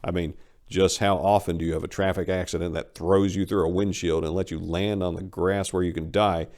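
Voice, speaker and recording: A man performing a short story as a wry, rambling, incredulous accident survivor, animated and emphatic in a conversational way. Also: low-pitched, brisk. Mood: wry disbelief. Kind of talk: dramatic reading of a short story.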